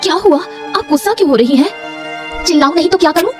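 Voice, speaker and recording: A woman's voice: very high voice, expressive, fast, over music. A woman voicing a dramatic, emphatic female character in a moral-story cartoon. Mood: indignant. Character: animated storybook women: princess, wife, sweet seller.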